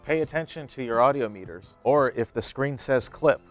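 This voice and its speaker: A man's voice: medium pitch, animated, normal speed, soft background music. A man in his thirties giving plain, step-by-step how-to instructions as an equipment demonstrator.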